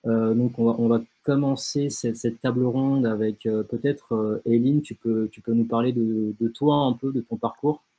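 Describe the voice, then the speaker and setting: A young man hosting and moderating an online panel, introducing the guests in a halting way with frequent fillers. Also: medium pitch, steady tone, warm tone, low-quality recording. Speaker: host, moderator.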